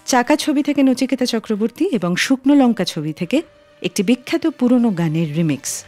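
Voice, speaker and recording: Lively woman radio presenter. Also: on the high side, moderate pace. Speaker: radio show host. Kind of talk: scripted radio narration. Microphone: close studio microphone. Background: soft music.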